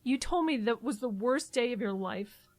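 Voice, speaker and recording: An actress playing a worried, exasperated adult daughter and caregiver in an audio drama, speaking emphatically. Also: female voice, on the high side, animated, lightly acted. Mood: exasperated, upset. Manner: brisk, emphatic, fraught.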